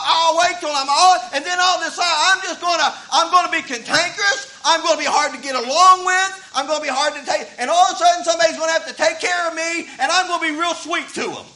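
A man's voice: very high-pitched, pushed and bright, rough recording. A man, preaching a sermon in a folksy way that repeats words as he starts thoughts. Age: 50s. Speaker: pastor.

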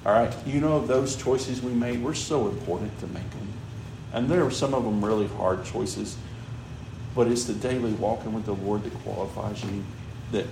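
A man's voice: on the low side, creaky. A man preaching a sermon in a conversational way, often checking in with "all right?" and "you know". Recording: echoey room with background noise.

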